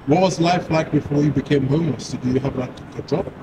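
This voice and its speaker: A man conducts a casual street interview. He talks quickly as he puts his questions. Clear traffic noise runs behind his voice. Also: fairly high pitch. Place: outdoors in a city street at night. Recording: noisy street with traffic ambience.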